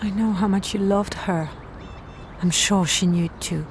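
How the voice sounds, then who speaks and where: An actress playing a guarded, concerned woman in an audio drama, restrained and direct, gently insistent. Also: medium pitch, fairly even intonation, a little slow, slight background noise, close to an everyday voice. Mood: sympathetic.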